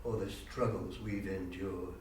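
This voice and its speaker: A man's voice: low voice, fairly even intonation, unhurried, darkish tone. A man auditioning as an amateur actor, moving between monologue and interview chat. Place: university room, audition being filmed. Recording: echoey room, distant mic, faint noise.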